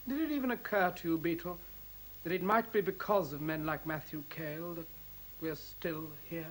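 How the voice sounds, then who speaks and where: An actor playing an older scientist in a radio play, reasoning patiently in a precise, didactic, deliberate way. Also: male voice, fairly high pitch, fairly bright tone, close to an everyday voice, poor audio. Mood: reasonable. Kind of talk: radio drama acting. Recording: hissy old radio play recording.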